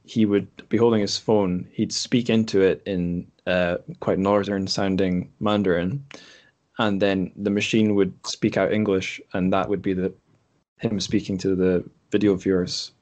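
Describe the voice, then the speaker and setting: Podcast host, male, low register, informal and conversational, gropes for the exact word. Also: little pitch movement, a little slow, a little mellow. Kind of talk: casual podcast chat.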